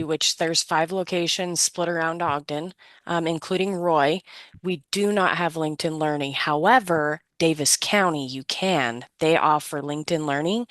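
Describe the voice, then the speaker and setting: Low-voiced woman explaining in detail. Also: no echo. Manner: detailed, informative. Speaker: group participant sharing local information. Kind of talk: informal explanation to a group.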